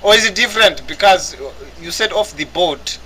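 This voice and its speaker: High, bright-voiced man asking teasing questions. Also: moderate pace, rough recording. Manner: teasing, blunt, provocative questions. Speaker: guild member on voice chat. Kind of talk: prank questioning in voice chat.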